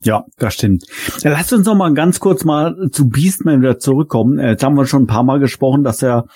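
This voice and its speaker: A male podcast host moderates casually in a dark, mellow and husky voice of medium pitch. The recording is very dry. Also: brisk, no echo.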